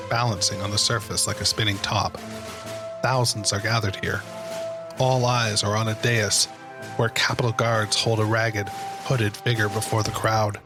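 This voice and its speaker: Deep-voiced male narrator over music. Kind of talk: scripted dramatic narration.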